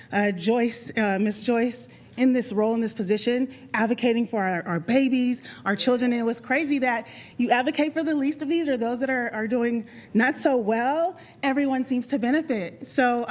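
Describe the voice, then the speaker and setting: Lively, heartfelt woman at a podium. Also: a little high, normal speed. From Liberia. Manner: lively and heartfelt, laughs between thoughts. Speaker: public commenter. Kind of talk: public comment at a meeting. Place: meeting chamber podium.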